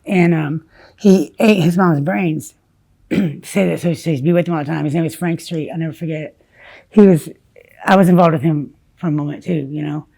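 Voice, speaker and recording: A woman from South Dakota, whose first language was Spanish, telling her story haltingly in an interview, full of fillers and restarts. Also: low-pitched, gravelly, mellow. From South Dakota, USA. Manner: halting, many fillers and restarts, blunt about grim details.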